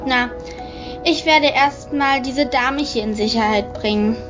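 Female police inspector played by a woman, practical and brisk, higher-pitched, faint music behind, audio drama. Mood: brisk, businesslike. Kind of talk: home-recorded audio drama acting. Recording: slightly muffled home recording.

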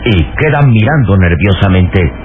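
A man's voice: deep voice, expressive, moderate pace, over loud music. A man delivering dramatic, solemn and suspenseful narration for an old radio serial. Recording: tinny, noisy old radio recording.

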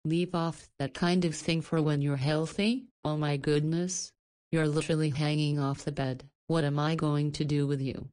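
A woman's voice: low voice, steady tone, moderate pace, darkish tone, natural voice. An actress playing a caring, flirty woman in a visual novel, chiding and teasing like a doting guardian. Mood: exasperated scolding.

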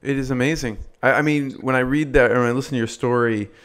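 Interview host, man, smooth resonant voice, attentive prompts. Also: medium pitch, fairly even intonation, fast talker, a bit bright. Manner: attentive, encouraging, brief prompts. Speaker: podcast host. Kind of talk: interview conversation.

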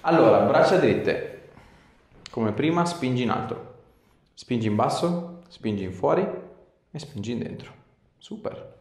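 A man's voice: mid-range voice, lively intonation, a little slow, pauses often, a little mellow. A man in his thirties working as a chiropractor, giving casual instructions and chatting with a patient. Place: small indoor treatment room. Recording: roomy echo of a small clinic.

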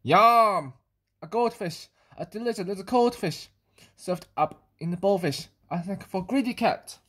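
A woman's voice: medium pitch, lively intonation, unhurried, a bit bright, husky. A woman voicing a greedy, hungry male pet cat from a storybook, exclaiming and relishing each word. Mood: gleeful greed.

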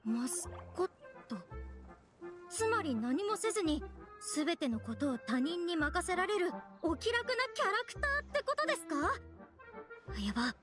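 Anime game young woman: timid, stammering, self-deprecating, extremely high register, theatrical delivery. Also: big pitch swings, moderate pace, music in the background. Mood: sheepish. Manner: hesitant, stammering, dramatic. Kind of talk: Japanese anime game voice acting.

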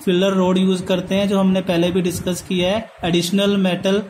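A man's voice: high voice, completely flat delivery, moderate pace, a little mellow, a little hiss or hum. A man with an Indian accent giving a lecture from notes, mixing in English terms and repeating points as he explains step by step. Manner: switches between Hindi and English terms. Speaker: polytechnic lecturer.

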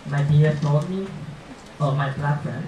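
A young man from Japan with a Japanese accent performs a stand-up comedy routine in halting, simple phrases. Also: on the high side, mellow. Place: small comedy club stage, indoors. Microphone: handheld mic, picked up by far camera. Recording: echoey club, distant, audience noise. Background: audience laughter.

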